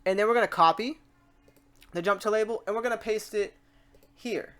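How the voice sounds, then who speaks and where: A tutorial host of unclear sex talking casually through software steps and often thinking aloud. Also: mid-range voice, brisk. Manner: informal, talks self through steps.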